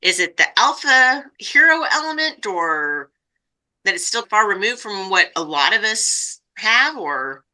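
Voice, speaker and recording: Female podcast host, mid-range and strikingly bright, conversational interviewer. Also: medium pitch, sing-song, measured pace.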